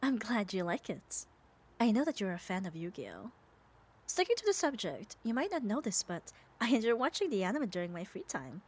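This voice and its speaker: A woman playing a muscular, devoted, gently dominant yandere girlfriend in an ASMR roleplay, speaking tenderly and soothingly and often dropping to a whisper. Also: mid-range voice, big pitch swings, normal speed, breathy edge. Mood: tender, reassuring. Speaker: ASMR voice performer. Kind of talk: ASMR roleplay, soft intimate acting.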